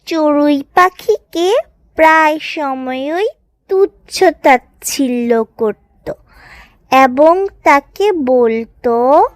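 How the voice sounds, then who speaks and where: A woman's voice: sits high, animated, extremely slow, no echo. A woman narrating a scripted moral story for children as a storyteller. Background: music.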